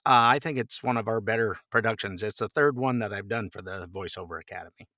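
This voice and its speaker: A man's voice: on the low side, quick pace, pushed and bright, dry recording, clean studio sound. An older American man from Idaho chatting casually as a podcast guest, with a folksy manner. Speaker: podcast guest, voice actor and producer. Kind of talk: casual chat.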